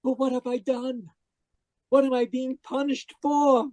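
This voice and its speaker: Man acting a slow, anguished radio drama murderer. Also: very high voice, little pitch movement, darkish tone, no room sound. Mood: fearful, confessing. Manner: pleading, melodramatic. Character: anguished, deluded male murderer convinced he is dead.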